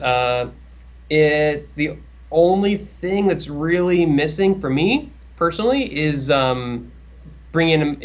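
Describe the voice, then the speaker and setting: A man co-hosting a casual podcast chat, talking in a hesitant, rambling way with many restarts. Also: medium pitch. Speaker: co-host.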